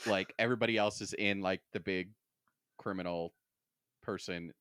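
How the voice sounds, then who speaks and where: A man's voice: low voice, steady tone, moderate pace, fairly bright tone, smooth, dry recording, studio quality. A man co-hosting a podcast, talking casually about books and peppering his speech with "like". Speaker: podcast co-host. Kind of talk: casual book discussion chat.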